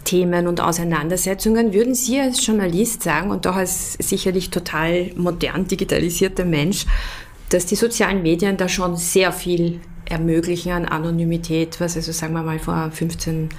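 Woman podcast host, slightly low voice, asking interview questions, bit of background noise. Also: fairly even intonation, moderate pace.

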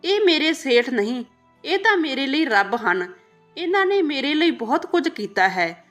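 A humble maidservant and mother from a moral storybook, played by a woman narrator. She speaks high with wide swings of pitch, respectful and earnest. Faint music plays underneath. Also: big pitch swings, measured pace, fairly bright tone, exaggerated voice. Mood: grateful caring. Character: devoted humble maidservant, mother of grown children.

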